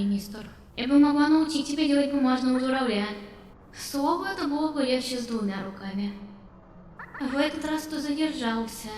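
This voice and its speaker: A young robot girl voiced by a woman talks in a polite, childlike, confiding way. Her voice is fairly high and wistful, like a game character. It sits in long reverb with faint noise behind it. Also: darkish tone, far from the mic, close to an everyday voice. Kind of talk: game character dialogue dub.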